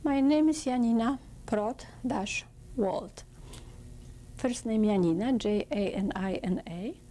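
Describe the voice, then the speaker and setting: A woman in her seventies from Poland with a Polish accent, giving halting, slow oral history testimony in non-native English. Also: fairly high pitch, warm tone, a little hiss or hum. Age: 70s. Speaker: interviewee.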